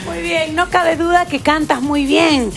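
A woman gives a measured verdict as a talent show judge. Her high voice is full of creak, and music is clearly heard behind her in the studio. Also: steady tone. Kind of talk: measured spoken critique.

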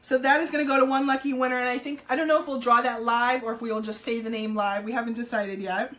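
Chatty, slightly hoarse New woman. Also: on the high side, fairly even intonation, fast talker, a bit bright. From New Jersey, US. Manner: chatty, upbeat, many ums, greets viewers. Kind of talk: casual live-stream sales chat. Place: home room, indoors.

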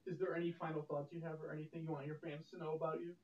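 Higher-voiced man host, echoey lo-fi recording. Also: little pitch movement, rapid-fire, darkish tone. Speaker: interview host. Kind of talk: casual interview questions. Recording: echoey, dull, with faint hiss.

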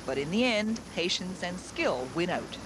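A man delivers scripted documentary narration in a high voice. Clear vehicle noise runs behind his words. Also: sing-song, moderate pace.

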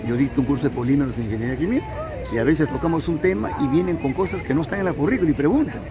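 A man who teaches chemical engineering talks quickly and eagerly outdoors on a campus. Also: a little high. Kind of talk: street interview. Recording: crowd chatter outdoors.

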